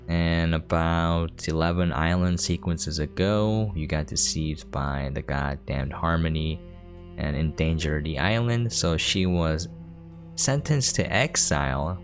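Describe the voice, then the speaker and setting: A man giving casual video game commentary in an informal, conversational, explanatory way. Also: deep voice. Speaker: gameplay streamer, commentator. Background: faint game music.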